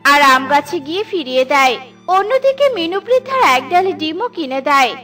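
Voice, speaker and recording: A woman's voice: sits high, bright, forceful tone. A woman giving scripted narration for a children's cartoon story.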